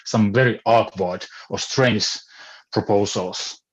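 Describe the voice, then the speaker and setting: A man's voice: lowish voice, fairly even intonation, slow, slightly rough. A man with a Finnish accent, a native Finnish speaker, talking on an online panel in a hesitant way, restarting often as he searches for words. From Finland. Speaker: philosopher on a panel. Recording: dull lo-fi call audio.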